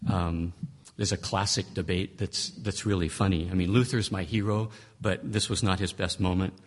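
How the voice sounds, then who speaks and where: A man's voice: deep voice, fairly even intonation, moderate pace. A man giving a conversational lecture on reading scripture, emphatic and fond of rhetorical questions, often restarting his phrases. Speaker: Bible teacher.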